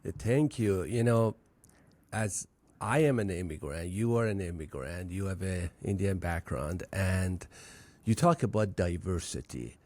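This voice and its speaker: Low, mellow-voiced male host. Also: animated. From Iran. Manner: halting, searching phrasing with restarts. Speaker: podcast host. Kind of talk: conversational interview.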